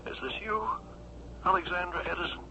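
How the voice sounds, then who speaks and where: An actor playing a concerned gentleman in a radio drama, with a hesitant, hushed way of speaking. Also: sits high, steady tone, brisk, heavily acted. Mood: concerned, shocked. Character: concerned gentleman taking a troubled caller's confession. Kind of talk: 1970s radio drama acting. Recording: noisy, dull old radio recording.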